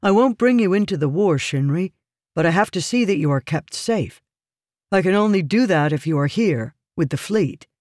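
A woman's voice: fairly low pitch, expressive, moderate pace, darkish tone, no room sound, clean studio sound, pitched above the performer's own voice, natural voice. A woman voicing an earnest, protective nobleman with a concerned manner in an audiobook. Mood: earnest, reassuring. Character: earnest, honorable, protective young lord. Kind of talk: audiobook character dialogue.

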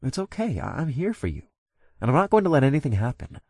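A man's voice: medium pitch, big pitch swings, quick pace, a little mellow, husky, dry recording, studio quality, pitched above the performer's own voice. Earnest, protective werepanther hero acted by a man. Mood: concerned, reassuring. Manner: earnest, reassuring, firm. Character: protective, earnest male werepanther, firm but caring. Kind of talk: acted audiobook dialogue.

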